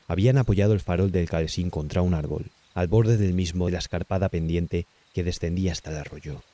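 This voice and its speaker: A man's voice: sits low, warm tone, a little hiss or hum. A man with a Castilian Spanish accent narrating a scripted horror story as an audiobook.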